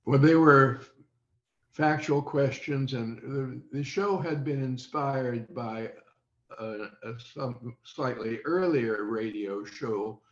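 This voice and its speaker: An older man from the American Midwest reminiscing in an interview, halting and pausing often mid-sentence. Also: mid-range voice. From United States, Midwest. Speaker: interview guest recalling radio childhood. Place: indoor room on a video call. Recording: roomy, dull video-call audio.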